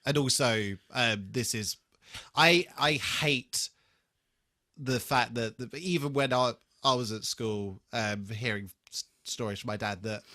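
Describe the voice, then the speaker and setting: A man with a bright, forward voice, talking casually as a podcast co-host. He speaks haltingly, swears freely and pokes fun at himself as he tells stories. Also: mid-range voice. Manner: sweary, halting, self-deprecating. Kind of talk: casual chat, personal anecdotes.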